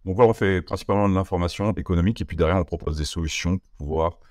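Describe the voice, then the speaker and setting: A young man from France with a very low voice talks fast in a casual interview, stumbling through fillers and restarts. The sound is close and dry, with no room around it. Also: darkish tone, no room sound. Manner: hesitant, many fillers and restarts. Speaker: interview guest, entrepreneur.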